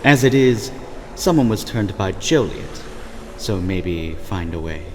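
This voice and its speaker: A man's voice: deep voice, animated, takes it slowly. A man voicing a plain-spoken, curious vampire schemer who casually asks questions in a scripted fantasy drama. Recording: long reverb, clearly noisy background.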